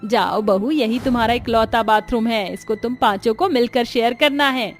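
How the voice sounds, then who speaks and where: A woman's voice: fairly high pitch, moderate pace, faint music behind, natural voice. A woman voicing a firm older mother-in-law in a cartoon story, giving instructions matter-of-factly.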